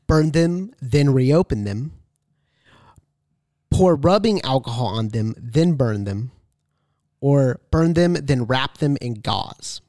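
A man's voice: medium pitch, animated, slow pace, long pauses, warm tone, no room sound. A man hosting a casual podcast quiz, reading out questions and answer choices. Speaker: podcast host, quizmaster.

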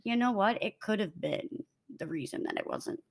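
A woman's voice: medium pitch, animated, normal speed. A woman co-hosting a casual true-crime podcast, talking in an emphatic, matter-of-fact way. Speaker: podcast co-host.

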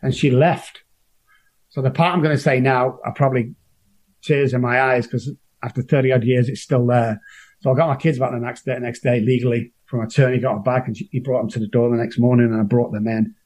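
A middle-aged man from Manchester speaks with a strong local accent at an ordinary pitch. He tells his story earnestly and emotionally, often stopping and restarting his sentences. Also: fairly even intonation, a little fast, a little mellow. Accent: Manchester English. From Manchester, England. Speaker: guest. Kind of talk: personal recovery story.

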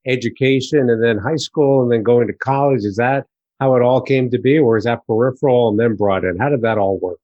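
A man's voice: medium pitch, brisk, a little mellow, no room sound. A man hosting a conversational business interview, asking questions over slightly lo-fi audio. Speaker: interviewer, host.

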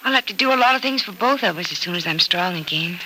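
A woman's voice: on the low side, lively intonation, fast, bright, forceful tone. An actress playing a brave, hopeful young woman recovering in hospital, in 1940s radio soap opera style on a noisy old recording. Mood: wistful, planning.

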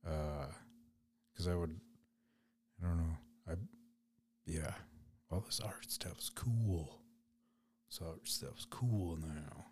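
Raspy, deep-voiced man rambling slowly. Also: a little mellow, a little hiss or hum. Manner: rambling, loose, laid-back. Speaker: podcast host. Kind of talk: casual solo podcast chat.

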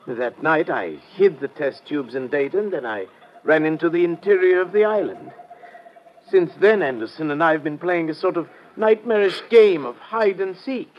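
Man acting jittery earnest scientist, high pitch, agitated, vintage radio thriller, muffled recording with slight noise. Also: animated, moderate pace, lightly acted. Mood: distraught. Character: nervous, overwrought male scientist in hiding. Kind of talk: 1950s radio adventure acting.

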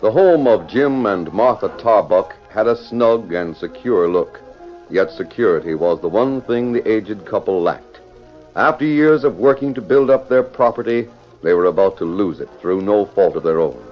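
A man's voice: fairly low pitch, lively intonation, normal speed, soft background music. A man narrating an old radio western in a declamatory, scripted announcer style. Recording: dull old radio recording.

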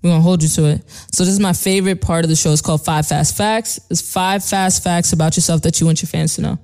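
A woman's voice: on the low side, fairly even intonation, fast talker, darkish tone. A woman from New York hosting an interview, upbeat and prodding her guest. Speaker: interview host. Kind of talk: casual interview chat.

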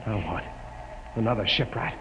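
A man's voice: fairly low pitch, animated, moderate pace, lightly acted. An actor playing an impetuous, defiant young man, bold and intense, in old-time radio drama style. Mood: tense, weary. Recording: noisy old radio recording, echoing hall.

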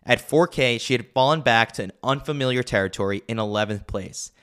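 A man's voice: mid-range voice, fairly quick, a bit bright, clear, resonant tone. A man narrating a scripted sports newsletter as a podcast host, with a wry, opinionated way of talking.

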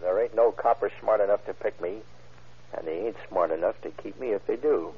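An actor playing a cocky, hard-boiled American crook and self-styled general, talking defiant slang in an old-time radio crime drama. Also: male voice, lowish voice, a little fast, vocal fry. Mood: brash, defiant. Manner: slangy, defiant tough-guy talk. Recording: muffled, tinny old radio recording.

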